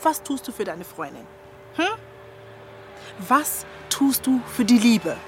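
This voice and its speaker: A woman's voice: a little high, very animated, slow. An actress playing a middle-aged stepmother, stern and wounded, in a naturalistic film drama. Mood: reproachful. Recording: faintly noisy film location sound.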